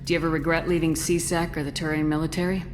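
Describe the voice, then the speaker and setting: A woman playing a steady, supportive military commander in a video game, composed, reassuring and direct. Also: low voice, fast talker, music in the background, lightly acted. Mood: curious, familiar.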